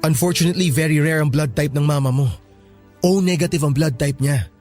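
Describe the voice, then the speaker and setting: An actor playing a calm, reassuring young male hospital worker in a radio drama, speaking soothingly and earnestly. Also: on the high side, a little fast, soft background music, natural voice. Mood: calm, serious.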